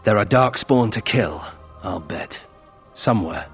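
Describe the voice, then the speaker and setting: An actor playing a brooding young nobleman of a disgraced family in a video game, husky, wry and rueful in a conversational way. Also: on the low side, expressive, measured pace, soft background music. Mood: rueful reflection. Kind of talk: video game character acting.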